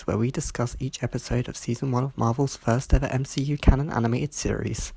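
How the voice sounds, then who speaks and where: A reviewer reading a scripted review in a measured way, sounding stuffy from hay fever. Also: medium pitch, vocal fry, warm tone, slightly rough, no echo. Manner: measured, reads from a script with small slips. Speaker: video reviewer.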